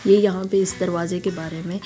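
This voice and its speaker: A hoarse woman from Pakistan with a sore throat, narrating a casual, admiring walking tour for her vlog. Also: on the low side, fairly even intonation, a little fast, dark tone, slight background noise. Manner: chatty, admiring, guiding viewers along. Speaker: travel vlogger.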